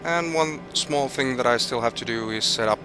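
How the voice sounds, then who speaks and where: A man's voice: medium pitch, normal speed, over music, rough recording. A man with a Finnish accent streaming a flight simulator, thinking aloud and reading out weather and route figures with hesitant restarts. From Finland. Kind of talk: live gameplay commentary, thinking aloud.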